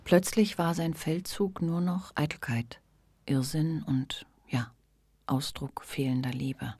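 An actor playing a male literary narrator in a radio drama, reading scripted prose in a measured, contemplative way. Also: fairly high pitch, a little mellow, a little hiss or hum. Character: reflective literary narrator of a novel. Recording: music bed behind narration.

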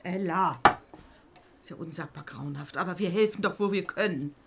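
A woman's voice: fairly low pitch, normal speed, very noisy, lightly acted. A woman playing a practical, protective middle-aged mother in a radio drama, matter-of-fact and soothing. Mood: defensive.

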